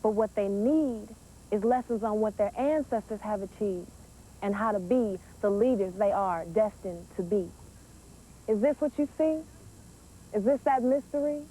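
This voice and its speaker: A woman's voice: mid-range voice, measured pace, warm tone, slight background noise, poor audio. An eighteen-year-old American woman, a poet introducing herself and reciting spoken-word verse. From Wisconsin, United States. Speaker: teen poet.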